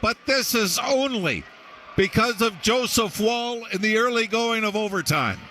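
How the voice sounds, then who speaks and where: High-voiced male radio hockey analyst. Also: expressive, measured pace, a little hiss or hum. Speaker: colour analyst. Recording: radio aircheck with loud background sound.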